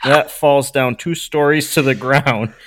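A man's voice: medium pitch, a little slow, a bit bright, a little hiss or hum. A man on a podcast panel, casually brainstorming in a comedic, conversational way.

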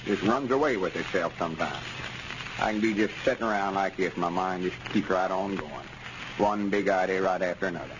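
An actor playing a pompous, folksy storekeeper with a rural Arkansas accent in a 1930s radio comedy. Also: male voice, deep voice, a little fast, natural voice. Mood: self-satisfied. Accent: Southern US, rural Arkansas. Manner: folksy, pompous, self-satisfied. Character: boastful, self-important rural storekeeper with lawyer ambitions. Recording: scratchy, muffled 1930s radio recording.

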